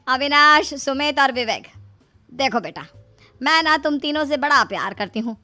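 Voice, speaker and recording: Woman performing scheming mother-in-law, middle-aged, fretful, emphatic, pleading, higher pitch, story dialogue, soft music. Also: expressive, moderate pace. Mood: firm coaxing.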